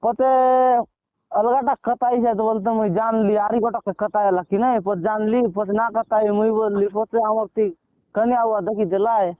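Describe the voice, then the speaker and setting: A man's voice: high-pitched, steady tone, moderate pace, no room sound. A man with a Koraputia Odia accent chatting casually on a phone call. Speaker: phone caller. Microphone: telephone line. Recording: tinny narrow-band phone call.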